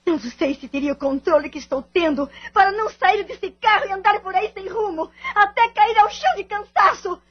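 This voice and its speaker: A woman's voice: very high voice, normal speed, hardly any pauses, dull audio. A woman acting in an audio drama with a Brazilian accent, playing a bitter, wounded wife and devoted mother in formal, melodramatic phrasing. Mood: anguished, angry.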